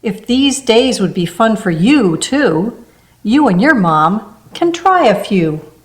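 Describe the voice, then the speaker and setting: A woman reading a rhyming children's picture book aloud in a gentle storybook manner. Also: medium pitch, sing-song, slow.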